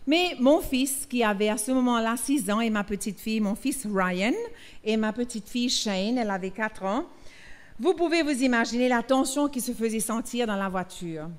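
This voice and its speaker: A middle-aged woman from Mauritius, slowly reading a sermon aloud, with hesitations and restarts. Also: on the high side. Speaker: preacher. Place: church.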